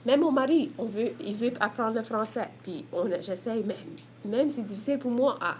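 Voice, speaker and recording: A woman's voice: on the high side, normal speed, a little mellow, slight background noise. A woman from the Évangeline region with an Acadian French accent, speaking candidly and searchingly about family and language. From Évangeline region, Prince Edward Island. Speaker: mother sharing her testimony. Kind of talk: candid personal testimonial.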